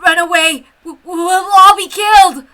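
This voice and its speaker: Woman putting on panicked, stammering soldier Tajima, high-voiced. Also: fairly even intonation, moderate pace, pushed and bright. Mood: terrified. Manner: breathless, stammering, crying out. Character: Tajima, dying panicked Japanese soldier man.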